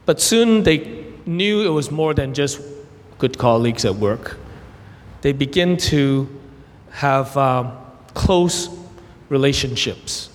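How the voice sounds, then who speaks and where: A young adult man raised in Canada, giving a sermon as guest preacher, earnest and gentle, telling stories with brief pauses. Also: mid-range voice, expressive, big-room sound. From Hong Kong, raised in Canada. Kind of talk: sermon with prayer and illustrations.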